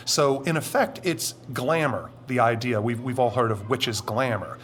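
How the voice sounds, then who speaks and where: Deep-voiced man explaining at length. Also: fairly bright tone, a little hiss or hum. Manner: deliberate, explanatory, with stretched emphatic phrases. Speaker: interview guest. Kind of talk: conversational interview answers.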